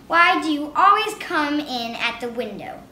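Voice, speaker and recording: A woman's voice: sits high, animated, a little slow, bright, forceful tone, pitched above the performer's own voice, full character voice. A woman voicing a fussy, tidy little female mouse who talks in a prim, scolding way. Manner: prim, scolding, house-proud. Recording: roomy with faint hiss.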